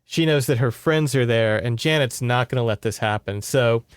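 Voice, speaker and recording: A man with a smooth, middle-pitched voice recaps a book as a podcast host. He talks informally and wanders through the story, swearing casually along the way. The sound is very dry, with no room around him. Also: normal speed, dry recording.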